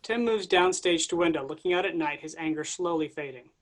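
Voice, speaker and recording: A man reading stage directions aloud in a plain, even way during a staged reading. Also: a little high, fairly quick.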